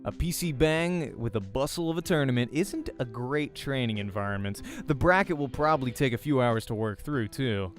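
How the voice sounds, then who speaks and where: Casting: male game narrator, mid-pitched, first-person storytelling with informal asides, faint music bed. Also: normal speed, few pauses. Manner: informal, breaks off to comment on slips. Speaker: voice actor reading a game.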